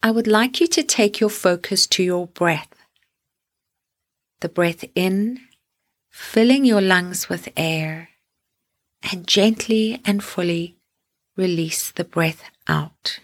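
A woman hosting a guided sleep meditation, speaking gently and soothingly in deliberate phrases with long pauses. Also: mid-range voice.

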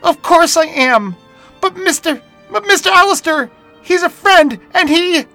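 A man voicing a frightened but defiant little girl in an audio storybook, pleading in a high, tearful voice. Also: takes it slowly, a bit bright, soft background music, full character voice.

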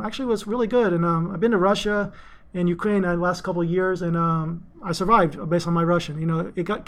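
High-pitched middle-aged man, interview guest, chatty and rambling, lots of ums. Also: fairly bright tone. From Greece, raised in Houston, Texas. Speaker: interview guest, finance executive. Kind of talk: casual interview storytelling.

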